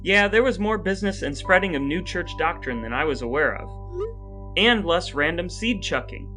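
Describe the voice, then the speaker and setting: A man's voice: sits high, animated, normal speed, bright, forceful tone, faint music behind. A man co-hosting a casual chat podcast with his brother, playful and dry-humored. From American Midwest. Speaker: podcast co-host.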